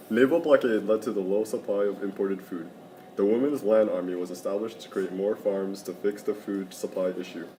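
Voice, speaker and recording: A young male student reading a history class project aloud in a flat, subdued way, recorded in a classroom. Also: lowish voice. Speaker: student presenter. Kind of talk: reading a school project narration. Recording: roomy classroom with faint background noise.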